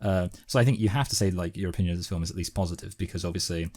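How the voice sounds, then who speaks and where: A man co-hosting a casual, unscripted film review talk, giving measured, hedged opinions. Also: low-pitched, a little mellow. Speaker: podcast co-host reviewing films.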